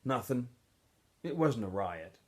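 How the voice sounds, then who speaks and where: A man's voice: medium pitch, big pitch swings, takes it slowly, darkish tone. A man voicing an earnest, defiant teenage boy in a storybook reading. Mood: earnest defiance. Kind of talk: storybook character voice.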